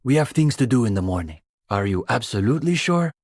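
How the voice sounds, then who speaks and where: A man's voice: medium pitch, very animated, fairly quick, a little mellow, no room sound, studio quality. An actor playing an earnest, condescending young male student intellectual in a video game, speaking in an articulate, formal and wry manner. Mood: patient, pedantic. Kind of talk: scripted video game character dialogue.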